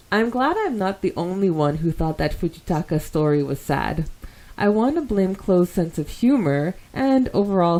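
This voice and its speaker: A woman's voice: on the low side, a little mellow. A woman co-hosting a casual fan podcast, chatting and reading out listener comments in a giggly, enthusiastic way. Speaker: podcast co-host.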